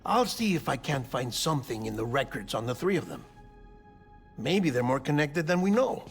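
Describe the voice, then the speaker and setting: A man's voice: medium pitch, very animated, moderate pace. An actor playing a businesslike male colleague briefing someone in a cinematic video game, with music behind. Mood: helpful.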